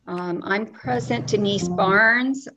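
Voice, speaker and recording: A woman taking roll at a virtual meeting, calling names in a deliberate way. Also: mid-range voice, background noise. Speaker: council member taking roll.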